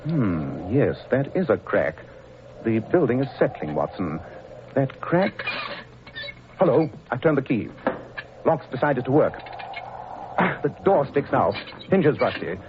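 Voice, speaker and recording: An actor playing a brisk, commanding male detective in a 1940s radio drama. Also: mid-range voice, sing-song. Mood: urgent. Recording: muffled old radio recording with loud hiss.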